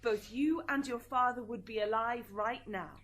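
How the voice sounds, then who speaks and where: High-voiced stern prosecutor played by a woman. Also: moderate pace, fairly bright tone, close to an everyday voice. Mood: pointed. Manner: formal, pointed questioning. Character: stern, leading prosecuting lawyer in afterlife court. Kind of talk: amateur radio drama acting. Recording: hissy amateur recording, background noise.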